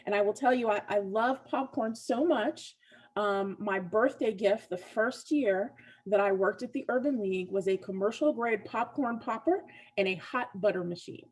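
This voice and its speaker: A woman's voice: medium pitch, moderate pace, a little mellow, rough recording. A woman who grew up in the American South, chatting in an enthusiastic way in a casual panel chat.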